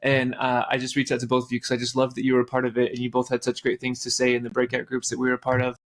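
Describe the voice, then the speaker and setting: A man's voice: mid-range voice, monotone, rapid-fire. A man co-hosting a faith podcast, introducing his guest in a friendly, self-deprecating, rambling way. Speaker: podcast co-host. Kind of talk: casual interview hosting.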